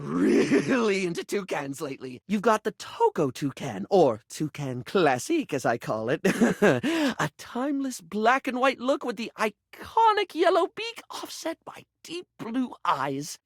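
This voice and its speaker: A man's voice: high-pitched, a bit bright, no room sound, clean studio sound, heavily acted. A man voicing a flamboyant, chatty living makeup kit in a video game, gushing and rambling, camp, insecure and eager to please. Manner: effusive, camp, gushing, rambling, calls people darling. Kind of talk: video game character voice acting.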